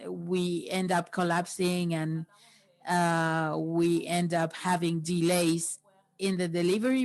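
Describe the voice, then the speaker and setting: A woman interpreting a workshop into English, pausing often between phrases. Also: on the low side, a little mellow.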